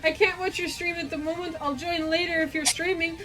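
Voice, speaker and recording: A woman's voice: very high-pitched, fairly even intonation, brisk, sharp tone, faint music behind. A woman streaming a game, chatting casually and greeting her viewers with bubbly, giggly energy. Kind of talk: casual live-stream chat.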